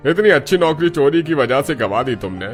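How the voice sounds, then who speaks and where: A man playing a scornful, suspicious landlord in an animated story. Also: on the high side, brisk, soft background music. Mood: scornful, refusing. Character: suspicious, scornful landlord and shopkeeper refusing a tenant. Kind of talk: animated Hindi family story acting.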